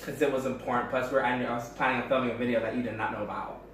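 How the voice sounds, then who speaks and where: A man's voice: medium pitch, fairly even intonation, rapid-fire, crowd noise. A man co-hosting a casual home book club video with his sister, joking crudely and swearing often. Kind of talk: casual sibling banter. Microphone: camera mic at a distance. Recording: echoey room, distant mic, faint noise.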